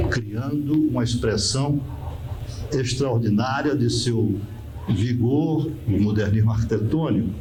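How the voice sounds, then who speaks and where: Slow, measured elderly Brazilian man. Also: mid-range voice, background noise. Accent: Northeastern Brazilian Portuguese. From Northeast Brazil. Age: 80s. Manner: slow, measured, reflective with pauses. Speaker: architect recounting memories. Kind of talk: reflective oral-history interview.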